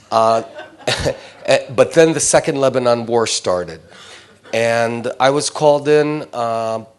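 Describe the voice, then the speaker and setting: A man in his forties from Israel, a Hebrew speaker talking in English, telling his personal story to a visiting group in a hesitant way with many fillers. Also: medium pitch. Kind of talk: informal personal talk.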